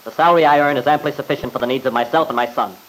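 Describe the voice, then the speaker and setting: A man's voice: medium pitch, rapid-fire, fairly bright tone, lightly acted. A man playing an earnest, defensive young father testifying in an old radio drama. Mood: flustered, defiant. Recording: noisy old radio recording.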